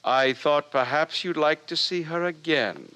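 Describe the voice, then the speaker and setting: Polite, animated male nonconformist. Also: fairly high pitch, unhurried, a little hiss or hum, low-quality recording. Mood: defiant, pointed. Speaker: radio actor. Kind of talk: 1950s radio drama acting.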